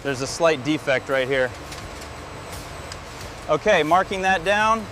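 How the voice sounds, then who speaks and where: A man's voice: on the high side, expressive, slow pace, background noise. A man speaking as a factory worker, giving short scripted workplace instructions.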